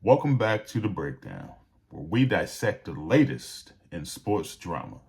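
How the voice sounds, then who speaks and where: Give the host a low-pitched male voice. He talks casually to his viewers about sports, picking through his points in a deliberate, halting manner. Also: wildly swooping pitch, creaky, darkish tone, faint music behind.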